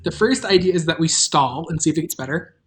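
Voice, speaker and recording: A livestream host and audio drama creator chatting casually with the cast and viewers in a wry, deadpan way. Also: medium pitch, fast.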